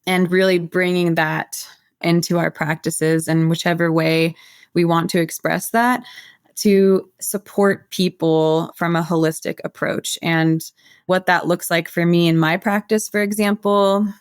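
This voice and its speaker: A woman's voice: on the low side, clear, resonant tone, no room sound, studio quality. A woman from the United States, a guest herbalist, explaining her practice in an earnest, flowing way with frequent filler words. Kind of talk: casual podcast interview.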